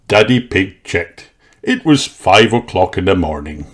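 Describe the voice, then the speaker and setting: A man reading a children's picture book aloud in a slow, expressive storytelling way. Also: low voice. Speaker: storybook narrator. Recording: narration over background music. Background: background music.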